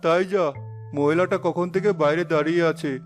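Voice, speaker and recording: An actor voices a poor village father in a cartoon tale. His voice is notably high for a man, and he talks in an earnest, excitable, kindly way. Soft music plays behind him. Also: fairly quick, lightly acted. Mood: earnest, humbled. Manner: exclamatory, earnest. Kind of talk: cartoon story acting. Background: faint music.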